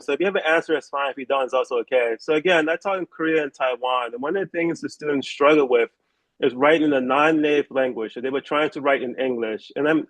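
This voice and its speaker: A man with a fairly high voice asking an author questions in a relaxed, conversational way. The sound is dry and close, with no room around it. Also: dry recording, rough recording. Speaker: magazine interviewer. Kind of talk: interview questions.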